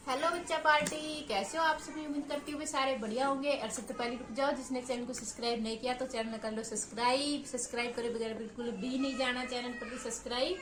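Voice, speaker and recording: A woman with a very high voice hosts a children's channel. Her delivery is scripted and chirpy, pitched directly at young viewers. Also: steady tone, fast talker, pauses often. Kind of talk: scripted subscribe appeal to children.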